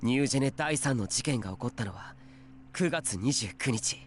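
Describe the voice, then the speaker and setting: A male actor playing an earnest, insistent teenage schoolboy sleuth in a Japanese visual novel, speaking deliberately. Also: mid-range voice, expressive, slight background noise, natural voice.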